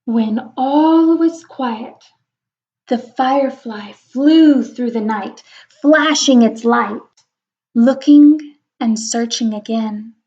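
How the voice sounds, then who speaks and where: Female storyteller for young kids, high voice, expressive and wondering, chatty asides, slightly muffled lo-fi sound. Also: slow. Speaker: read-aloud storyteller. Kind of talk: children's picture book read-aloud with chatty asides. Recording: dull lo-fi recording.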